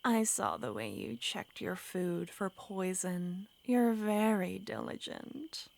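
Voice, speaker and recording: Brief: a woman as a sweetly menacing young witch, breathy close whispers, very slow delivery. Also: fairly low pitch, expressive, exaggerated voice. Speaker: ASMR roleplay performer. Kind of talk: ASMR roleplay.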